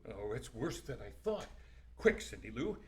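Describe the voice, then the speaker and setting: A man's voice: medium pitch, sing-song, moderate pace, darkish tone, far from the mic, close to an everyday voice. A man playing an earnest, heroic old Santa Claus in a comedic audio drama, with a dramatic, declamatory delivery. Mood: urgent. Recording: very echoey room, slightly off mic.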